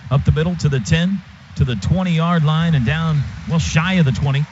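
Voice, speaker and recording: A man calling live football play-by-play on radio, with an excited running description of the action. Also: fairly high pitch, moderate pace. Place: stadium radio booth. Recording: dull radio sound over loud stadium noise. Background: loud crowd and stadium noise.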